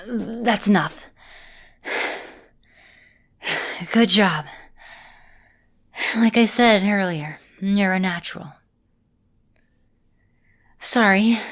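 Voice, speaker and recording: A woman playing an affectionate vampire mistress in an ASMR roleplay, speaking in a gentle, reassuring and softly teasing manner. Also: medium pitch, lightly acted. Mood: tired, affectionate. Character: affectionate centuries-old female vampire mistress, encouraging mentor.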